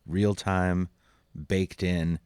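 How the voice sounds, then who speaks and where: Man, low-pitched, casual tutorial instructor, informal, thinking aloud, close dry recording. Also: little pitch movement, drawn-out words, a little mellow, smooth, no room sound. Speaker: audio software instructor.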